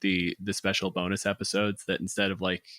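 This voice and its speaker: A man's voice: sits low, monotone, brisk, bright, forceful tone, no room sound, clean studio sound. A man co-hosting a podcast in casual, conversational discussion. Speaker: podcast co-host.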